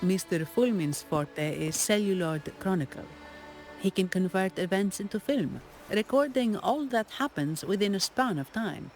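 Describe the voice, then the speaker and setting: An actress playing a calm, courteous, analytical investigator in a video game, speaking in a measured, formal way. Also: female voice, medium pitch, soft background music. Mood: serious, explaining. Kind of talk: video game character acting.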